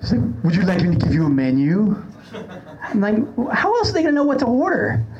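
A woman's voice: mid-range voice, huge pitch swings, fairly quick, darkish tone, lo-fi sound. A woman hosting a casual comedy podcast, telling anecdotes in a wry, sarcastic way. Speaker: podcast host. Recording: clearly noisy background.

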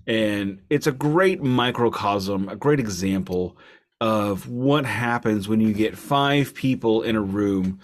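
A man co-hosting a podcast, casually discussing albums in a wry, deliberate way, repeating phrases for emphasis. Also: lowish voice. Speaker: podcast co-host reviewing albums.

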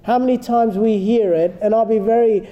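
High-voiced, mellow man giving a religious lecture. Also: steady tone, normal speed, highs cut off. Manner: earnest, explanatory, sprinkles Arabic phrases; calls himself soft-spoken but firm today. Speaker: Islamic teacher.

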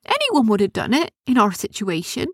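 Woman doing wheedling little gnomes, squeaky high, storybook reading. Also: wildly swooping pitch, brisk, breathy edge, dry recording, studio quality, exaggerated voice. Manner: whimpering, wheedling, rustic grammar. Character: small sneaky pleading gnomes.

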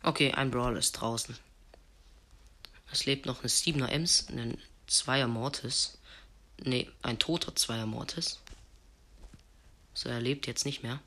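A man with a cold giving casual, slangy running commentary on a mobile game he is playing, sometimes dropping to hushed asides. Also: medium pitch, fairly even intonation, unhurried, long pauses. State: has a cold. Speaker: gaming video host. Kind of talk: live gameplay commentary.

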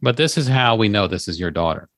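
A man whose first language is Farsi co-hosts a podcast, needling his partner with dry questions. His voice sits lower than most men's. The recording is close and dry. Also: animated, fast, a bit bright, no room sound. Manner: teasing, questioning, dry humor. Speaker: podcast co-host. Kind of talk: casual chat.

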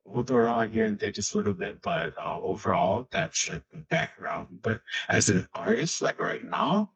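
A man from Haiti in his twenties, a guest musician speaking haltingly and searching for words in a casual interview. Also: lowish voice, huge pitch swings, vocal fry, fairly bright tone, husky. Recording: dull lo-fi sound.

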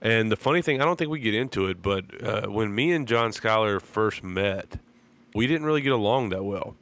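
A man with a low voice that is froggy and congested from a sinus infection hosts a podcast. He talks in a relaxed, rambling way, apologizing now and then. Under the congestion the tone stays smooth and full. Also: moderate pace. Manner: laid-back, rambling, apologetic. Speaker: podcast host. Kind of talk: casual podcast intro and chat.

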